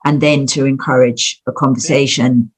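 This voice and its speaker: A woman's voice: rumbling low, steady tone, normal speed, husky, rough recording. A woman speaking as a podcast guest in a casual, reflective way, circling tentatively around her points.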